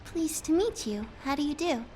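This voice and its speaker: A woman's voice: high-pitched, expressive, normal speed, dark tone, a little hiss or hum. A woman playing a polite but guarded, precocious little girl in a video game, prim and careful. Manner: prim, careful, slightly hesitant.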